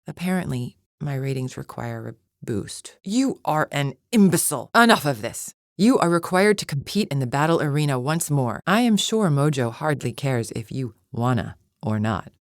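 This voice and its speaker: A proud female alien gladiator for a video game. Her voice sits low, with formal, scornful diction and an impatient, superior temper. The sound is dry and close, free of room echo. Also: sing-song, normal speed, hardly any pauses, full character voice. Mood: haughty impatience. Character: haughty, impatient alien warrior woman, proud arena champion. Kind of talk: video game character acting.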